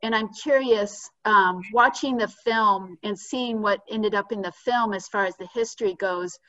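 A woman's voice: mid-range voice, measured pace, pushed and bright, dry recording. A young woman moderating a Q&A on a video call, asking questions hesitantly with many fillers. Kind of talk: panel Q&A moderation. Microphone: computer mic on a video call. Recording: dull, dry lo-fi computer mic.

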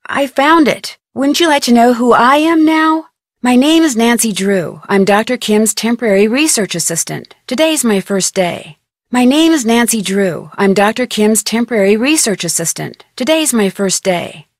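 A plucky young American female detective from a mystery video game. Her voice sits fairly high and is recorded dry. She speaks clearly and directly, as a polite, articulate guide and sleuth. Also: expressive, moderate pace, dry recording. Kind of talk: scripted video game dialogue and tutorial narration.

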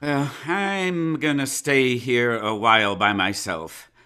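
An actor playing a smug, condescending county attorney in a radio play, patronizing and full of chuckles and pauses, recorded in a home closet. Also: male voice, mid-range voice, background noise, natural voice. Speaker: Atty. Henderson, county attorney. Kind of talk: live radio play acting.

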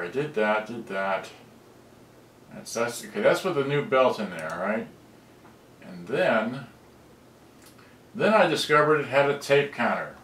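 A man casually walking through a cassette deck repair, rambling with halting restarts. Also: medium pitch, fairly bright tone. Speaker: electronics repair hobbyist. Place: room, filming photos on a monitor. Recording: roomy, distant camera audio.

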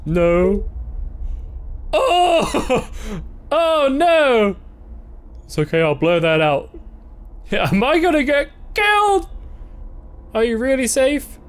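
A man's voice: extremely high pitch, huge pitch swings, extremely slow, long pauses. A young man doing casual, playful let's-play commentary, reacting and reading game text aloud. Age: young adult. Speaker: gaming video host.

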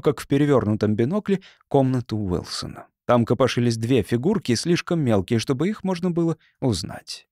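A man's voice: medium pitch, a little mellow, no echo, clean studio sound. A man reading scripted literary prose as an audiobook narrator.

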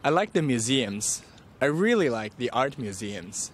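A man from China answers interview questions outdoors. His voice is mid-pitched and calm, and faint city noise sits underneath. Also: measured pace. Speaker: tourist interviewee.

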